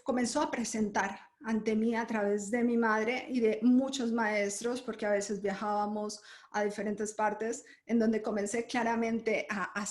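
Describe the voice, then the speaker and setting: A woman from Colombia, a podcast guest, telling her life story in a lively way with frequent laughter. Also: on the high side. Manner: lively, laughs often while telling stories. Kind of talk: conversational interview storytelling. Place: lives in Germany.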